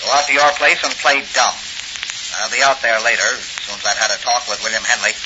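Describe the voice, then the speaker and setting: An actor playing a ruthless, commanding American gangster boss in 1940s radio crime drama style, with slangy tough talk. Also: male voice, mid-range voice, steady tone, fast talker, close to an everyday voice. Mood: scheming, in control. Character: ruthless male gangster racket boss, coolly menacing. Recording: muffled old radio recording, loud crackling hiss.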